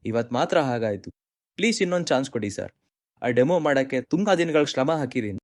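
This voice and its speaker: A man's voice: medium pitch, animated, a little fast, no echo, studio quality, natural voice. A man with an Indian accent playing an earnest, dejected young employee, apologetic and hesitant, in short-film drama dialogue. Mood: pleading.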